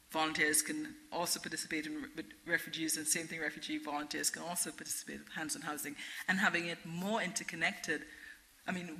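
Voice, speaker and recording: A woman's voice: low voice, pushed and bright, poor audio. A middle-aged woman with a Trinidadian accent, speaking earnestly as a guest at a public forum and answering questions. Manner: earnest, repeats and restarts phrases. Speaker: guest speaker, interfaith director.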